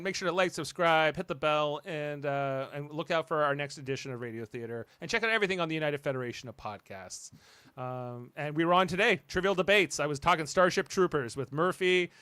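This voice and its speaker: A man with a slightly high voice hosts a casual podcast in a dry, close sound. He rambles through announcements, hesitating and letting sentences drift off unfinished. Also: fairly quick, bright, forceful tone, smooth, no echo. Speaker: podcast host. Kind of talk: casual panel chat, show announcements.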